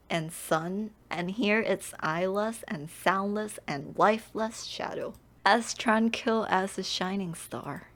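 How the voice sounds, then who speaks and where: Woman acting an eerie, slow, solemn female narrator. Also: lowish voice, exaggerated voice. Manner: slow, solemn, hushed, drifting into whispers. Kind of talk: dramatic game story dub. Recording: music behind the voice. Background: music.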